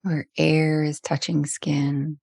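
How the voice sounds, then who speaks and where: A woman guides a meditation in a low voice with soft creak at the edges. Her delivery is very even and gentle, leaving long pauses between phrases. The sound is close and dry. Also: dry recording. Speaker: meditation teacher.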